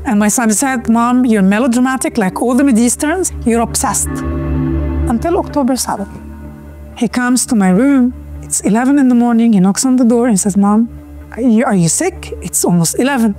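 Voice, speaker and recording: A middle-aged woman with a Lebanese accent, telling her personal story as documentary narration in an earnest, deliberate way. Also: on the high side, mellow, faint music behind. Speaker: activist and social media creator.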